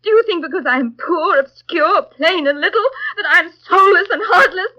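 A woman's voice: very high voice, moderate pace, pushed and bright. An actress playing a proud, earnest young woman of humble station in a theatrical 1930s radio drama. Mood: impassioned, wounded. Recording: muffled vintage 1930s radio recording.

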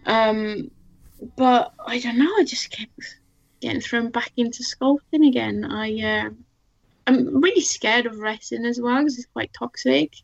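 A woman's voice: fairly high pitch, a little slow, gravelly, muffled recording. A woman whose first language is Swedish, chatting casually as a guest and laughing often. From Sweden. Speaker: guest artist. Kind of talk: casual chat.

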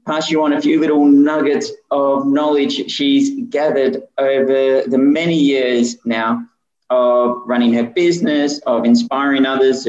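A man's voice: medium pitch, darkish tone, reverberant, muffled recording. A man hosting a podcast, introducing a guest in an enthusiastic, upbeat way. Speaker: podcast host.

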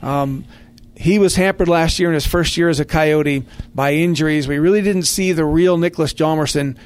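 A man's voice: a little high, normal speed. A man hosting a sports talk show, speaking enthusiastically in the manner of a radio announcer. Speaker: sports podcast host, radio play-by-play man.

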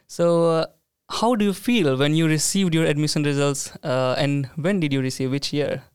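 A man's voice: fairly high pitch, normal speed, clean tone, no echo, studio quality. A man hosting a casual podcast chat, talking in a friendly way with plenty of "uh"s and "like"s. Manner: friendly, hesitant with many fillers. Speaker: podcast host.

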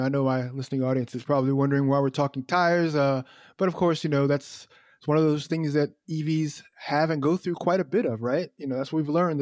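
Radio host, male, mid-pitched, easy conversational questioning, close dry studio sound. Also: a little mellow, no room sound. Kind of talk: radio interview.

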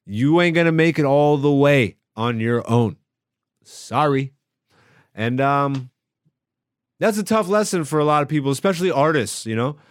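A man's voice: fairly high pitch, lively intonation, normal speed, pauses often, dry recording. A man born in Greece doing a brash, slangy, casual comedy podcast monologue, swearing freely as he hosts from a home studio.

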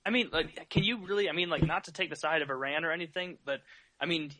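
A man's voice: a little high, fast, pushed and bright, rough recording. An earnest man making his case in a casual podcast debate, hedging often with "I mean, like".

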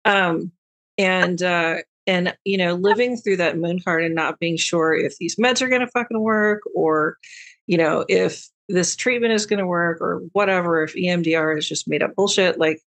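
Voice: medium pitch, moderate pace, fairly bright tone. A podcast co-host talking candidly and casually, with frequent "um"s and offhand swearing. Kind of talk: casual chat.

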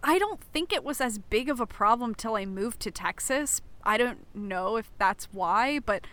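A woman's voice: fairly high pitch, expressive, normal speed, a bit bright. A young woman co-hosting a podcast, speaking with a slightly stuffy, nasal sound, chatty and sarcastic, using lots of fillers. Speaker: podcast co-host. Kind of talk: casual chat.

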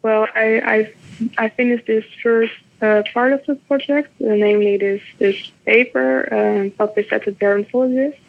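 A young woman with a Dutch accent speaks in a steady, level tone, pausing often with hesitant fillers. Her voice has a gravelly edge. It comes through a thin, phone-like line. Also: fairly high pitch. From Netherlands. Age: young adult. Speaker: doctoral researcher. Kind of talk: interview answers.

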